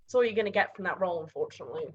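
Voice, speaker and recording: A woman running a tabletop game as game master, narrating casually in halting, improvised, informal descriptions. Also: on the low side, lively intonation, slightly rough. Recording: dull lo-fi audio.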